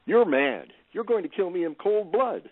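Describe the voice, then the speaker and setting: A man's voice: sits high, lively intonation, normal speed, dry recording. An actor playing a middle-aged man with guilt to hide, cornered, fearful and defensive in an audio drama. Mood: panicked pleading. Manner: agitated, incredulous, pleading. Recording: phone-like lo-fi line.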